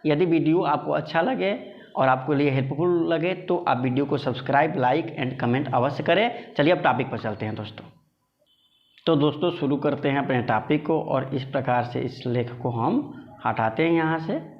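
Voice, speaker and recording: A man's voice: a little high, normal speed. A man teaching an online exam coaching lesson, explaining to students emphatically and repeating phrases for stress, on a dull lo-fi recording.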